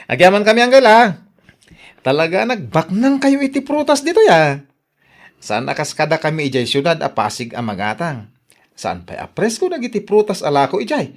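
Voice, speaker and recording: An actor playing a stingy, stubborn older farmer husband in an Ilocano radio drama, exclaiming and grumbling defensively with an Ilocano accent. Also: male voice, on the high side, very animated, moderate pace. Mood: hearty.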